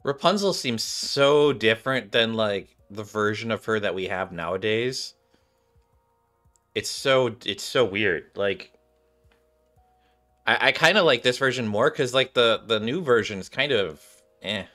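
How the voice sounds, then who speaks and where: A man's voice: on the low side, animated, measured pace, bright, forceful tone. A man giving casual live game commentary, hesitant and repeating words as he muses. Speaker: game streamer reacting to cutscenes. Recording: game music behind the voice.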